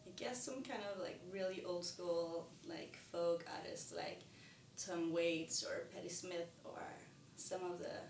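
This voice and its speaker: A young Danish woman, a singer-songwriter, talking to camera about her music in a soft, hesitant way with many pauses and ums. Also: on the low side, fairly even intonation, echoey room, far from the mic, a little hiss or hum. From Denmark. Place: indoors in front of a plain wall.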